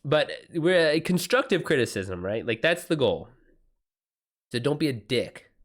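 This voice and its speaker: A man's voice: mid-range voice, very animated, a little slow. Casual, slangy man chatting on livestream. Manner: casual slangy talk, swears freely. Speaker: livestream host. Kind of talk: casual livestream chat with viewers.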